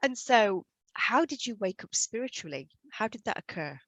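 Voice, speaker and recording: A woman's voice: on the high side, big pitch swings, normal speed, a bit bright, no room sound. A woman hosting a video call interview, asking questions with enthusiasm and effusive praise. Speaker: host, hypnosis trainer.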